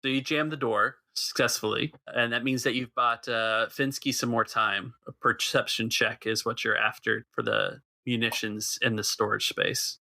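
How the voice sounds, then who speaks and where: Man, game master, gravelly, bright tone, dry close sound. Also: medium pitch, fairly even intonation, moderate pace, few pauses, dry recording. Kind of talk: narrating a tabletop game.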